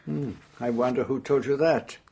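A shrewd detective in a radio drama. He is formal and probing, with a medium-pitched, slightly husky voice. He speaks deliberately and will not bend. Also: fairly even intonation, a little mellow. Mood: stern, probing. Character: shrewd, unbending male detective questioning suspects.

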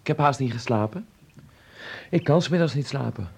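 An actor playing a sick, bedridden teenage son, witty and cheeky, in a Dutch radio drama. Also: male voice, medium pitch, lively intonation, brisk, vocal fry, a little mellow, slightly rough. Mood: earnest.

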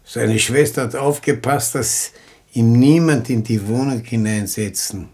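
An actor playing a plain-spoken, evasive neighbour in a German radio play, talking in a matter-of-fact, colloquial way. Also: male voice, medium pitch, unhurried, a little mellow. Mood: matter-of-fact, uneasy.

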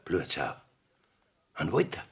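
An actor playing a blustering, evasive village judge in a Bavarian dialect radio comedy, grumbling and scolding with a husky, gravelly voice. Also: male voice, slow pace, close to an everyday voice. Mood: muttering dread. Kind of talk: dialect radio play acting.

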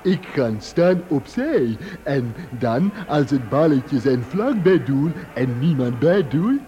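An actor playing a naive, stubborn, childlike magical creature in an old radio play, speaking earnestly in broken grammar. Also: male voice, medium pitch, animated, slow pace, warm tone. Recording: dull old radio recording, faint noise.

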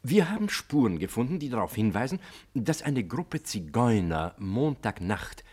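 An actor playing a dutiful, thorough police inspector in a German radio play, reporting methodically and quick to agree. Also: male voice, mid-range voice, big pitch swings, darkish tone, husky. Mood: businesslike. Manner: methodical reporting, eager repeated assent.

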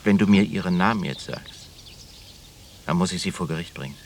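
An actor playing a deliberate, conflicted small-town lawman in radio play dialogue. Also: low voice, vocal fry, a little hiss or hum. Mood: brooding, torn.

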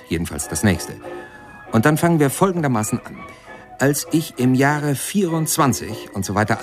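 An actor playing a playful, impatient jazz chronicler narrating in a radio play. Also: male voice, mid-range voice, lightly acted. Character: male jazz chronicler, playful, impatient, skipping through his story.

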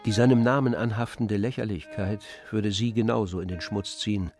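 A man reading scripted literary narration for a radio play in a measured storytelling manner. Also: fairly low pitch, fairly even intonation, a little mellow, faint music behind.

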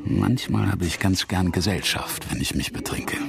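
Radio drama role: disillusioned barfly teacher, deep and creaky, musing, wry, halting delivery. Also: male voice, a crowd in the background. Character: disillusioned male drinker, ex-academic German teacher, wistful, wry. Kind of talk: conversational radio drama acting.

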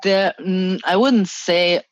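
A woman's voice: on the low side, takes it slowly, no room sound, lo-fi sound. A young woman from Germany talking about her identity as an interview guest, hesitant with many restarts and fillers. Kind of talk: casual interview talk.

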